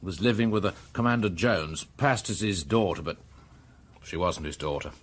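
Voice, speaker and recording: An actor with an English accent playing a plain-spoken, methodical police superintendent in a radio drama. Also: male voice, low voice, moderate pace. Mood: reporting, businesslike. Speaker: actor in a detective radio play. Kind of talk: British radio drama acting.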